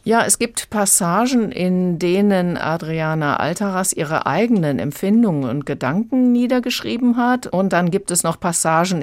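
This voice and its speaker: A woman with a low voice speaks as a reviewer in a radio conversation. She explains in a conversational way, in a very dry acoustic. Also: a little mellow, no echo. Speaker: audiobook reviewer.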